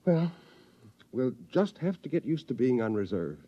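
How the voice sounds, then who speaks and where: An actor playing an anxious bassoonist who has lost his job to a robot, in a 1950s radio drama. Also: sing-song, normal speed, no room sound. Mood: dejected. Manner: earnest, flustered, first-person narration. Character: laid-off male bassoonist, anxious, put-upon family man. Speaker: narrator and lead character. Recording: muffled 1950s radio recording with music.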